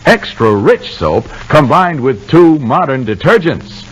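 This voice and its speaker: Very animated, husky vintage radio announcer man. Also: mid-range voice, poor audio. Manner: polished, persuasive sales delivery. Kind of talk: 1950s radio commercial and programme announcing. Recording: vintage 1950 radio broadcast.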